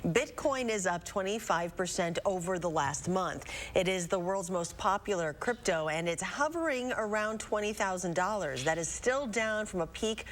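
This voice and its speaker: A woman news anchor reading business headlines in a steady, measured way. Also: medium pitch, a little hiss or hum. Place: television news studio.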